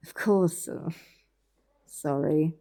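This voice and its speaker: A woman's voice: low voice, drawn-out words, gravelly, warm tone, full character voice. A woman playing an anxious, suspicious journalist in an audio drama, speaking in a hesitant, questioning way. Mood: apologetic, tired.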